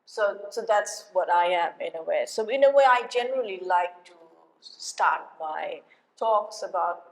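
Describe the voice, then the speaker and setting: A middle-aged woman from Bangladesh, giving a lecture as a guest architect and talking in a halting way, with many fillers and restarts. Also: on the low side, expressive. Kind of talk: lecture to a live audience. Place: lecture hall. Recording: echoey lecture hall.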